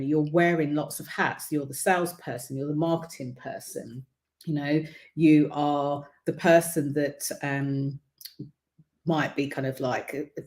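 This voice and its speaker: A woman hosting a livestream as a visibility coach, speaking casually to viewers with a croaky throat and many ums. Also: low voice, darkish tone. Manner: chatty, many ums, encouraging. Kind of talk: casual livestream talk to camera.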